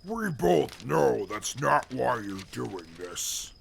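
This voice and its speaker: Huge mutant brute for a radio drama: very gravelly, slow, indignant and formal. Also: male voice, medium pitch, sing-song, slight background noise, heavily acted. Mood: scornful. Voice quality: gravelly, forceful. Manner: formal, blustering, indignant speech. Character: big green indestructible mutant brute, pompous, short-tempered, hungry. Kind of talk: post-apocalyptic audio drama acting.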